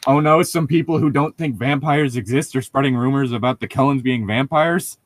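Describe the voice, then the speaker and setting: A man's voice: mid-range voice, a little fast, no echo. A man giving casual, mocking comedic commentary among co-hosts, swearing freely. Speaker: co-host.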